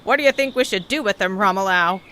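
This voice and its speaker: A woman's voice: medium pitch, quick pace, natural voice. A woman voicing a stern, scornful alien guard in an audio drama, curt and disdainful. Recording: noisy track with clicks.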